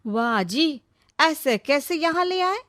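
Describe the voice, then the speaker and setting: A woman's voice: sits high, lively intonation, slow pace, a bit bright, dry recording, clean studio sound, voice pushed up, full character voice. A woman storyteller voicing a sarcastic, indignant, selfish young sister-in-law.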